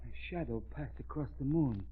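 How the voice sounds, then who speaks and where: A man's voice: medium pitch, normal speed, lightly acted. An actor playing a superstitious, fearful male servant, grave and ominous in 1930s radio drama style. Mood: frightened. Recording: muffled, tinny old radio recording.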